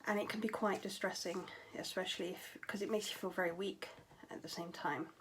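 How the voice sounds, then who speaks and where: A young adult woman talking candidly to camera at home, tired and low on vocal power, her voice often dropping to a breathy near-whisper. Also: steady tone, fairly quick, noisy background. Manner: candid, matter-of-fact, with frequent fillers and trailing phrases. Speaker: vlogger. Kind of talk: casual talk to camera.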